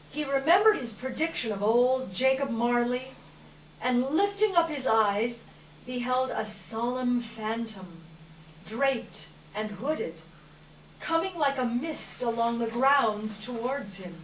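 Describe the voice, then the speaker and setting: Slow, high-voiced woman narrating. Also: expressive. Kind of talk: scripted story narration at readers theater. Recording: echoey room, distant mic, faint noise.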